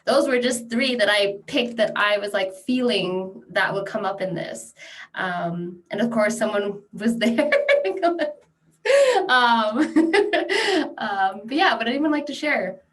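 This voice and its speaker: A young woman moderating an online youth panel, who laughs often and lets her sentences trail off softly. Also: medium pitch, slow pace, recorded from a distance. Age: young adult. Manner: friendly, laughs often, soft trailing speech. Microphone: video call.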